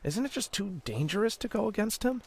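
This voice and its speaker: A young American prison guard fresh on the job, in a game drama. His voice has a gravelly fry and swings widely in pitch. He is naive and deferential, stumbling over his words with nerves. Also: big pitch swings, a little fast, heavily acted. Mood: hesitant, worried. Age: young adult. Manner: hesitant, deferential, stammering. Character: naive, nervous twenty-year-old male rookie prison guard. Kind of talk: dramatic game cutscene acting.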